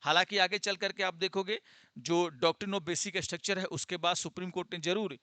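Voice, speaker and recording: A man's voice: high-pitched, fairly even intonation, normal speed, sharp tone, clean tone, no room sound. A man teaching exam students in an explanatory lecture, mixing in English terms and repeating key phrases didactically.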